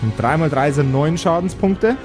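A man's voice: a little high, lively intonation, normal speed, music in the background. A man acting as game master, narrating an improvised tabletop role-playing game with dramatic storytelling between casual rules talk.

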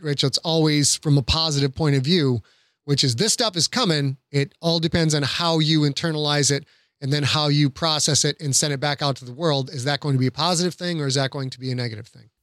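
Man, slightly high voice, thoughtful commentary, conversational, very little room sound. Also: fairly bright tone, no echo, clean studio sound. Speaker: podcast guest.

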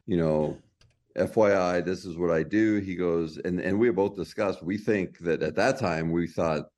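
A middle-aged man being interviewed, answering in a relaxed, agreeable way. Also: deep voice, normal speed. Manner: short, agreeable replies. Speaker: guest. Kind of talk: casual interview chat.

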